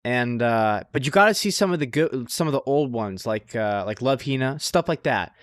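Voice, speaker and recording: Guy podcast host, middling pitch, dry close audio, swears casually, really sells his picks. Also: expressive, moderate pace, no echo. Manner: profane, slangy. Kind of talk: casual chat about anime.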